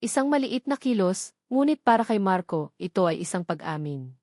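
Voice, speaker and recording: A woman's voice: medium pitch, sing-song, moderate pace, darkish tone, dry recording. Dry, dramatic woman storyteller. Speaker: narrator of romance audio dramas.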